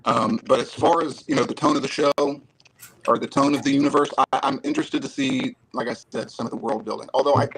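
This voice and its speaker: A man guesting on a relaxed chat show, with a mid-range voice. He answers in a measured, thoughtful way, and faint noise sits behind him. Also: medium pitch, vocal fry, low-quality recording. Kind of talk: casual chat.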